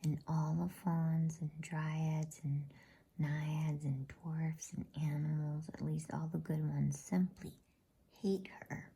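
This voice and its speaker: An earnest, trusting young girl in a bedtime storybook, whispered by a woman. Her voice is breathy and hushed with heavy creak. She speaks eagerly. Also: low voice, full character voice.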